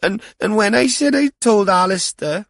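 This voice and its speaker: Upset young girl, played by a male narrator, halting and stammering, far higher pitched, creaky edge, dry close sound. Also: a bit bright, dry recording. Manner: halting, stammering, repeating words.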